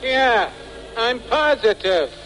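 An actor playing a blunt, dismissive, slangy city resident in a 1940s radio bit part. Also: male voice, very high-pitched, expressive, slow pace, a bit bright, soft background music, full character voice. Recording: noisy 1940s radio recording.

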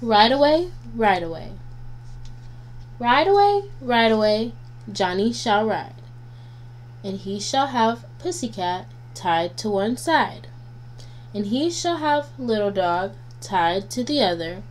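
A woman reading nursery rhymes aloud for children as a guest reader, in a deliberate, sing-song way. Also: a little high, animated. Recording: noisy home recording with hum.

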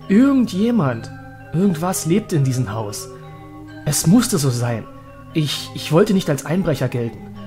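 A man's voice: on the high side, darkish tone. A man playing a haunted young trainer, recounting his story in a brooding, dramatic way for a horror audio drama. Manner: dramatic, halting at moments, brooding. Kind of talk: German horror audio drama narration and acting.

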